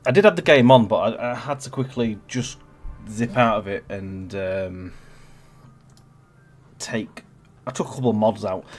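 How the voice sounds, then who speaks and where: Congested man hosting a stream. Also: on the low side. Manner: rambling, chatty, with many fillers and restarts. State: congested with a cold. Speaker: stream host. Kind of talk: casual gameplay livestream chat.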